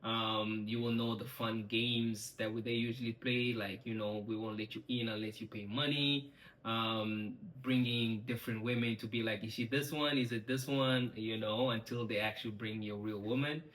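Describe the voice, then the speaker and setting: A young man from Congo chats on a couple's vlog in a low, level voice that hardly rises or falls. The room is echoey and lively. A faint background hum sits under his talk. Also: normal speed. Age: young adult. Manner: relaxed, steady, filler-heavy. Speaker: vlog co-host. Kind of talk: casual chat.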